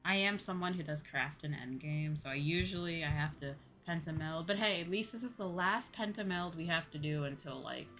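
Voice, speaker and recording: A woman's voice: sits low, brisk, few pauses, a bit bright, soft background music. A woman livestreamer chatting casually to her viewers as she plays, informal and rambling, often repeating herself. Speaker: livestreamer and host.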